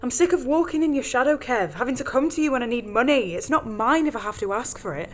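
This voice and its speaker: A woman playing a greedy, resentful wife, brisk and dismissive, in a dramatic audio drama. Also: a little high, expressive, slight background noise, close to an everyday voice. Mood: bitter, resentful.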